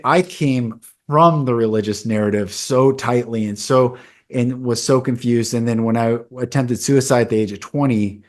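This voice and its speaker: A man in his forties with a mid-range voice hosts a podcast. He shares a personal story in a candid, earnest, conversational way. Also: mid-range voice. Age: 40s. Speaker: podcast host. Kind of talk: casual conversation.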